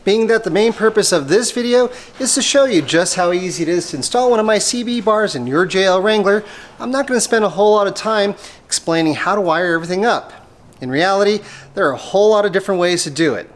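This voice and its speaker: A man's voice: sits high, expressive, fast talker, slight background noise. A man presenting a methodical, step-by-step hands-on installation tutorial for a product he made. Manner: methodical, explanatory. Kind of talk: step-by-step hands-on how-to demonstration.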